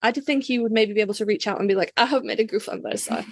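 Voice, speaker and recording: A woman's voice: mid-range voice, very fast, no room sound, clean studio sound. A woman co-hosting a podcast, enthusiastic and given to repeating her words. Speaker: podcast co-host. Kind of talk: casual podcast interview.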